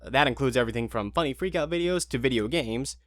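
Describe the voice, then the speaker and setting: A man's voice: medium pitch, fast talker, a bit bright. A man narrating a scripted audio drama in an informal, wry, conversational way.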